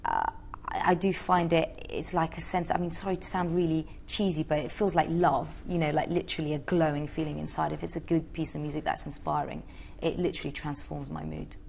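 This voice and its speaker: Low, gravelly-voiced young woman. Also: steady tone, fairly quick, darkish tone, a little hiss or hum. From Georgia. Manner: chatty, hesitant with many fillers. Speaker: interviewee, singer. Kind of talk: casual interview answers.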